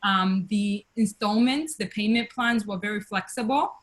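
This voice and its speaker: A young woman from Tanzania, speaking on a video call webinar, with many pauses, fillers and restarts. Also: medium pitch. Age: young adult. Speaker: webinar guest, investor. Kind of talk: casual testimonial on a webinar.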